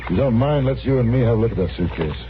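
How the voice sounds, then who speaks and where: An actor playing a courteous, authoritative American sheriff in an old radio western, formal and deliberate in speech. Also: male voice, mid-range voice, lively intonation, lightly acted. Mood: formal, firm. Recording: tinny, noisy old radio recording.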